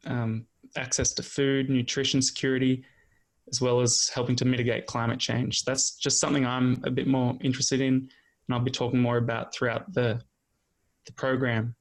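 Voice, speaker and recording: A man's voice: medium pitch, little pitch movement, normal speed, gravelly. A man in his twenties with an Australian accent, introducing himself and his podcast in a casual, slightly hesitant, unscripted way. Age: 20s. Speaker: podcast co-host.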